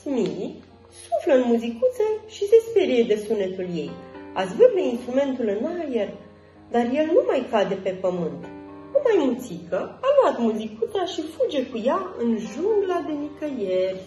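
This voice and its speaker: A woman reading a children's story aloud in an expressive storytelling style. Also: on the high side. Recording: roomy echo, faint music.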